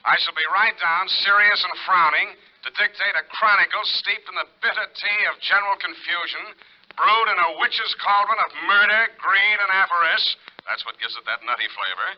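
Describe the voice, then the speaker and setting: An actor playing a cocky, wordy private detective in a 1940s radio drama, deadpan and mock-pompous. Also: male voice, sits high, moderate pace, lightly acted. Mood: wry, playful. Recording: muffled vintage 1940s radio recording.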